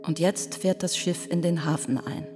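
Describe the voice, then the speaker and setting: An actress playing a grieving, resolute woman survivor giving reflective, measured testimony in a radio play. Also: on the low side, fairly even intonation, close to an everyday voice. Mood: solemn, resolute. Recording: long hall reverb, music behind.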